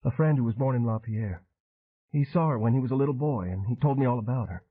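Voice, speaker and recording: A man's voice: mid-range voice, quick pace, slightly rough, voice pushed up, close to an everyday voice. A man voicing an anxious young woman who pleads urgently, on a tinny phone-like recording. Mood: anxious pleading.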